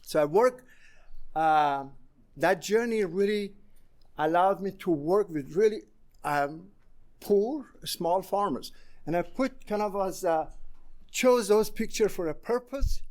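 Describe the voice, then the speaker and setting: A man in his sixties from Iran with an Iranian accent, giving a conference presentation in a halting way with many pauses. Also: high-pitched, lively intonation, darkish tone. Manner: halting, many fillers and restarts. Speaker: conference speaker.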